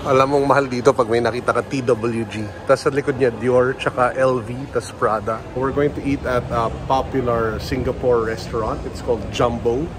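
A man's voice: medium pitch, steady tone, a little fast, hardly any pauses, background noise. A Filipino-accented man in his thirties chatting casually and playfully as a travel vlogger, recorded on a handheld camera. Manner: playful, informal. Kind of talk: casual vlog chat mixing Tagalog and English. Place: on the go: hotel room, malls, streets, restaurants. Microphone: handheld camera or phone at arm's length.

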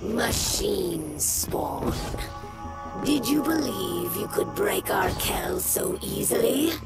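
A creaky, menacing alien warrior. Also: female voice, medium pitch, expressive, over loud music. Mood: menacing, defiant. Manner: slow, taunting. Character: menacing female alien warrior zealot, defiant. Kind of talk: video game voice acting.

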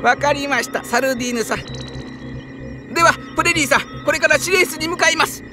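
A gentle, earnest engineer voiced by a woman speaks in a high register with formal courtesy. He is a soft-hearted worrier in a game audio drama, with music clearly playing behind him. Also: quick pace, natural voice. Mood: brisk, dutiful. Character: Fleuve, polite, gentle, earnest male guardian engineer, worrier.

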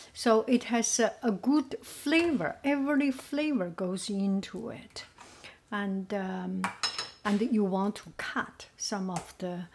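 A woman's voice: medium pitch, darkish tone, a little hiss or hum. A woman giving a casual home cooking demo, thinking aloud with many fillers. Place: home kitchen at a gas stove.

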